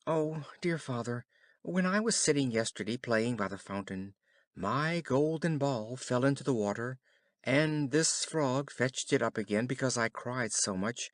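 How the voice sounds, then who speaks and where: A man voicing a spoiled, disdainful young princess in a storybook, with expressive phrasing. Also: medium pitch, measured pace, dry recording, clean studio sound, close to an everyday voice. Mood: anxious.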